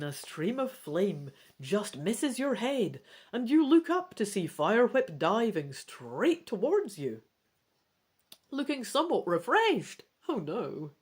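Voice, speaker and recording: A woman narrates a fantasy adventure story with theatrical care. Her pace is slow and measured, and her pitch moves in lively, animated swings. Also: slightly rough. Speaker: gamebook reader and player.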